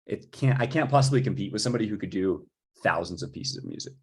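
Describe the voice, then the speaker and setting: A man's voice: fairly low pitch, lively intonation, quick pace, slightly rough. A man chatting informally, thinking aloud in a tentative way as he talks through his work. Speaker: composer. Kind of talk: informal chat about own work.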